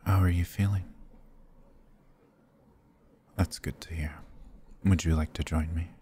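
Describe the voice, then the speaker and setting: A man voicing a courtly, gentle vampire lord in a fantasy ASMR roleplay, speaking in a formal, measured, soft-spoken way. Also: steady tone, a little hiss or hum, close to an everyday voice. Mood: courteous, attentive. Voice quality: husky, low.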